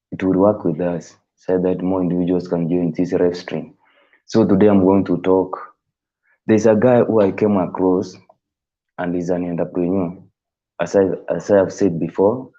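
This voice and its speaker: Low dark-voiced guy on a live stream, english not his first language, stops and rephrases a lot, kinda dull lo-fi sound. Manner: halting, rephrasing, thinking aloud. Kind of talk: casual talk to viewers.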